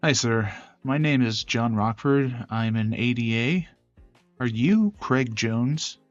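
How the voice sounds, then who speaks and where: A man voicing a polite, reserved young male prosecutor in a visual novel, speaking in a measured, earnest way. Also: medium pitch, no echo, muffled recording, faint music behind, lightly acted. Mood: polite, businesslike. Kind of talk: visual novel character voice acting.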